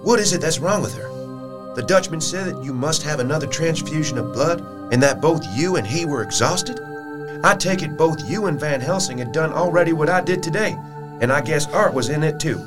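An actor playing an earnest, blunt young suitor with a folksy, emphatic way of talking in a gothic audio drama. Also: male voice, a little high, expressive, moderate pace, natural voice. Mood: anxious, earnest. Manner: plain-spoken, folksy, emphatic. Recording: music playing behind. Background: music.

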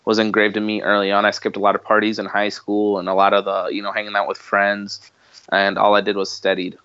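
Low-pitched man, dull lo-fi sound. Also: monotone, a little fast, pushed and bright, smooth, no room sound. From Bosnia, later the United States. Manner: informal, repeats phrases for emphasis. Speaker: podcast guest, marketing entrepreneur. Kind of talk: casual conversational interview.

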